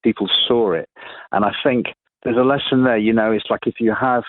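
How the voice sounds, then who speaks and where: A man with a fairly low voice speaks as a guest in a radio interview. The sound is narrow and phone-like, with little room around it. He is thoughtful and halting, often reworking a sentence midway. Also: fairly even intonation, no room sound. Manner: reflective, halting, often rephrasing mid-sentence.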